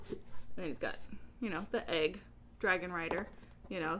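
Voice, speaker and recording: A woman talking in a casual, chatty book vlog style, with a giggly, animated and informal, rambling manner. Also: mid-range voice, slight background noise. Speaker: book reviewer vlogger.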